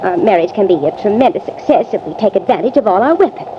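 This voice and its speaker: An actress playing an ambitious, domineering young society wife in a breezy, insistent, theatrical 1950s American radio drama style. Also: female voice, medium pitch, lively intonation, fast, natural voice. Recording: muffled, noisy vintage radio recording.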